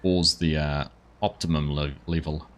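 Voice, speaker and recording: A man's voice: rumbling low, steady tone, unhurried, slight background noise. A man chatting casually while live-streaming a farming game, thanking viewers and answering their messages.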